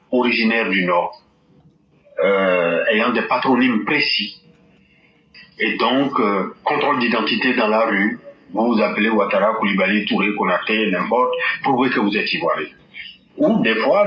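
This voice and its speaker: A man explaining history in a deliberate way in an interview. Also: medium pitch. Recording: dull roomy audio with faint noise.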